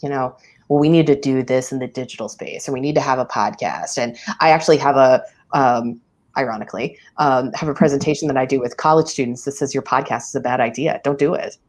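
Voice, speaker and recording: A woman in her forties, a public radio director, talking candidly in a casual interview, laughing often and filling pauses with ums. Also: very deep voice, a little mellow.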